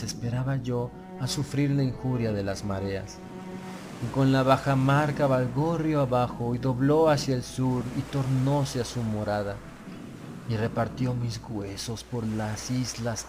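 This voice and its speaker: A man reads a gothic horror audiobook in a solemn, literary first-person style. His delivery is measured and deliberate. Prominent music plays underneath the whole reading. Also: mid-range voice. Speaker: audiobook narrator.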